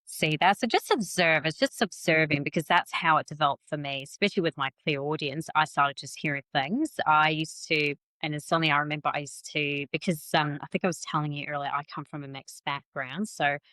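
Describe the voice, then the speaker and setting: A woman talking casually as a podcast guest about her work as a psychic medium, chatty and quick to laugh at herself. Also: sits low, fairly even intonation, sharp tone, no room sound, poor audio. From New Zealand and Malaysian family. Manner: chatty, self-deprecating, laughs often. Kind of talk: casual interview conversation.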